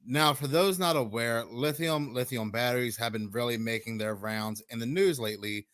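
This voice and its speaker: A man from the United States, hoarse with a sore throat, explaining a theory in a measured, steady way. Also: medium pitch, no echo, clean studio sound. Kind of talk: explanatory conspiracy commentary.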